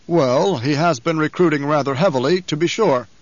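A man's voice: a little high, normal speed, no room sound, lightly acted. A man doing a blustering, barking fat baron. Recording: dull, dry recording.

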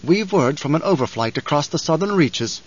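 A man's voice: fairly high pitch, animated, normal speed, slightly rough. A male reader acts out a stern aristocratic envoy from an audiobook. He speaks in a formal, pointed way, probing and insinuating as he presses his listener. The sound is slightly dull. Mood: pressing, displeased. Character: stern, probing male count, imperial envoy, veiled threats.

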